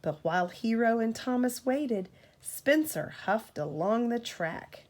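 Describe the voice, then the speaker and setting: A woman reading a storybook aloud to a child as a parent, in an expressive, deliberate storytelling manner. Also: fairly high pitch.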